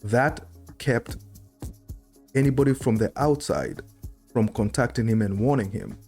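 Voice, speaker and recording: A man's voice: mid-range voice, unhurried, a little mellow, faint music behind. A middle-aged man giving informal historical commentary as a host, conversational and explanatory, speaking into a close desktop microphone indoors. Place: indoor room at a desk. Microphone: desktop microphone close to the mouth.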